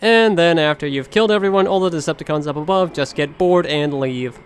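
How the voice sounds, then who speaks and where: A man's voice: a little high, fairly quick, clear, resonant tone, dry recording. A man giving jokey, tongue-in-cheek commentary over game footage. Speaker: video narrator. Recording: music behind the voice.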